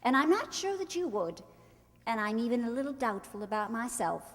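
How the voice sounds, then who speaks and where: A woman's voice: on the high side, very animated, a little fast. A woman playing a proud, witty young society bride in a radio play, theatrical and emotional. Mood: gentle, regretful. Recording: stage hall reverb, faint music.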